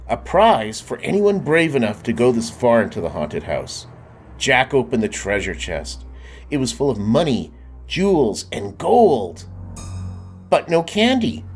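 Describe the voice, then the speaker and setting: A man reading a children's storybook aloud in a lively way, often stopping to ask the listener questions. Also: on the low side. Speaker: storybook reader. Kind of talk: children's book read-aloud.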